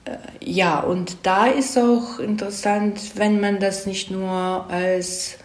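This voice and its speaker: A woman speaks as an interviewed screenwriter in a roomy, reverberant space. Her voice sits at a medium pitch. She explains things in a casual, thoughtful way and often tacks a short checking question onto her sentences. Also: steady tone, slow pace. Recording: echoey room.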